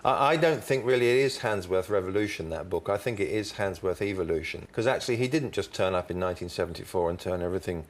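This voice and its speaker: A man on a discussion panel giving opinions on a book in articulate, deliberate, emphatic phrases. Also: low voice.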